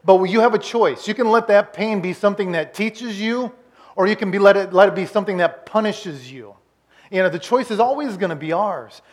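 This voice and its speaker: A pastor in his forties with a notably high voice delivers a relaxed, conversational sermon. He often stumbles and repeats words as he recaps earlier teaching. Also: brisk. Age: 40s.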